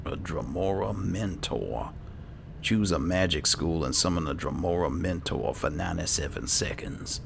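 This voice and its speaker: A man with an extremely low voice, thick with gravelly fry, narrates his video game role-play casually. He takes his time, musing to himself, over clearly audible background noise. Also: measured pace. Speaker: gaming host, role-playing as his character. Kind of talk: casual in-character game playthrough commentary.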